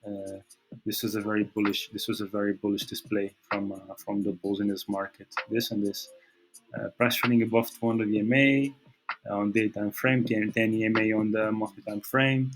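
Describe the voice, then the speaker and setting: Portuguese guy going over stock charts, kinda low voice, lots of uhs and restarts. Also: little pitch movement, moderate pace, soft background music, poor audio. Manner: hesitant, many fillers and restarts. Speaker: trading channel host. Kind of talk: screen-share market analysis.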